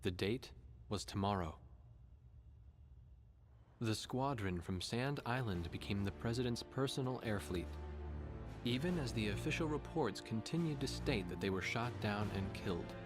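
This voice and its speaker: A man playing a reflective war chronicler, narrating in a measured, somber way over music. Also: lowish voice, lively intonation, natural voice. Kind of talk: scripted narration.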